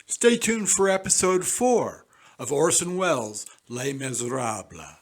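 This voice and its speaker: A man's voice: medium pitch, very animated, measured pace. A man presenting a scripted promotional narration between programme episodes.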